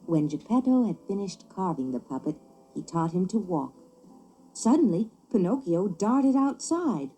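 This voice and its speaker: A woman reading a children's story as scripted narration, with an expressive, theatrical delivery. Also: medium pitch, a little slow, breathy edge, low-quality recording.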